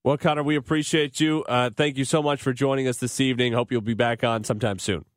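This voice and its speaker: A man's voice: medium pitch, fairly even intonation, quick pace, dry recording, studio quality. A man hosting a sports talk show in a conversational radio style.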